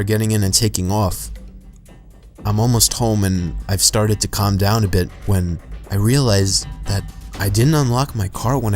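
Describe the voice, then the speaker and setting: Low-voiced man narrating horror stories. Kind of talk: scripted horror story narration.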